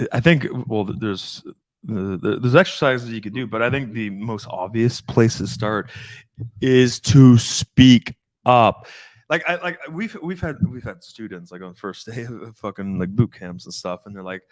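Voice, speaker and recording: A man co-hosting a live stream as a dating coach, speaking bluntly with halting restarts and repeated phrases. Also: on the low side, lively intonation, no echo.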